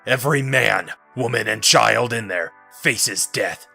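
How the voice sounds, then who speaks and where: An actor playing a gruff older heroic commander in an audio drama, forceful and blunt, with a rough, gravelly voice. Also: male voice, fairly low pitch, unhurried, full character voice. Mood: resolute, rousing. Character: gruff, defiant heroic older military commander, part synthetic. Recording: music under the voice.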